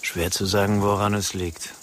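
Subdued entrepreneur, a man plays him, lowish voice, faint hiss. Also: level tone, normal speed, fairly bright tone, lightly acted. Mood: musing. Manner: musing, subdued. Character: weary male entrepreneur, melancholy. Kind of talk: radio play dialogue.

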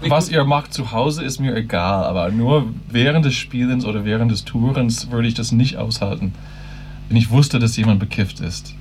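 A man's voice: medium pitch, normal speed, darkish tone, slight background noise, natural voice. A man playing an earnest, slightly naive musician in a naturalistic radio drama, with a questioning, hesitant manner. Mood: earnest, wary.

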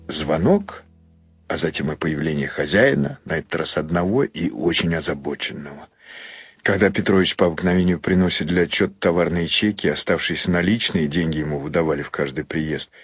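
A man narrating literary prose expressively and without hurry, with many pauses and shifting emphasis. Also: low voice, slightly rough, no room sound. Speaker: narrator reading prose for a radio audio play.

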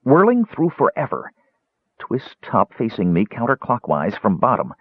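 A man reading aloud voicing a small, excitable male kender with a high, wavering voice. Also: normal speed, slightly rough, dry recording. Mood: eager. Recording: tinny phone-like dry sound.

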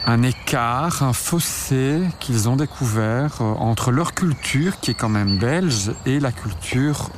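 A man's voice: medium pitch, background noise. A man from Belgium being interviewed, thinking aloud with hesitant restarts and fillers. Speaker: author and researcher, interviewee. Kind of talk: radio interview, explaining.

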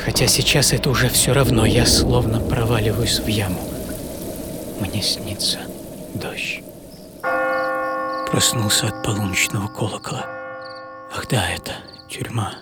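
A man's voice: fairly low pitch, fairly even intonation, unhurried, pauses often, lightly acted. A man acting a brooding imprisoned adventurer, over music. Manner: theatrical, intense, swinging from hushed whispers to agitated cries. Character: imprisoned 18th-century adventurer, proud, brooding, philosophical man. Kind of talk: dramatic first-person audio drama monologue. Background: music under the voice.

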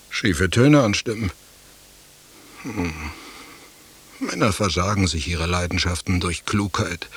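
An actor playing a sly, mocking, jilted husband in a literary radio play, with florid, ironic musing and a husky voice. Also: male voice, low-pitched, a bit bright, slight background noise.

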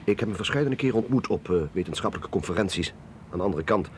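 Affable scientist captain, deep voice, animated intonation, faint vehicle noise, candid, hesitant, radio drama. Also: male voice, fast. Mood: candid, reassuring. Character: affable male navy or army captain, modest, candid scientist. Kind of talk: Dutch radio drama acting.

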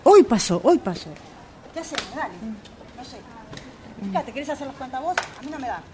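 A woman's voice: high voice, animated, fairly quick, very noisy. A woman with an Argentine accent acting an exasperated, anxious shop owner in an amateur radio play, insistent and pleading. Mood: agitated. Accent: Argentine Rioplatense.

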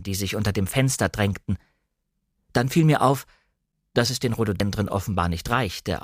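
Male narrator, standard German, medium pitch. Measured, precisely articulated literary storytelling, close and dry studio recording. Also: dry recording. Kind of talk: scripted literary narration, audiobook-like radio reading.

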